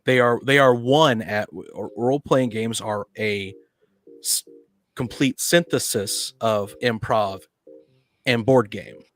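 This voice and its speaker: A man's voice: medium pitch, takes it slowly, soft background music. A man on a podcast panel in casual debate, arguing insistently and cutting in to press his point. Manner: argumentative, insistent, interrupting.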